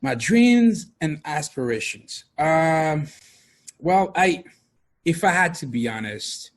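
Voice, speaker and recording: A man's voice: on the high side, big pitch swings, slow pace. A middle-aged man, a guest talking about his life in a casual interview, hesitant with many fillers. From France.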